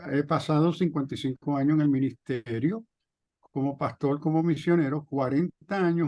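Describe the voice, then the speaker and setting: A man in his seventies from New York, teaching theology in a deliberate, emphatic way with pauses and self-corrections, on dull audio. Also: medium pitch, warm tone, no echo. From New York, of Puerto Rican parents. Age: 70s. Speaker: theologian, pastor and guest lecturer. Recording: dull, band-limited audio.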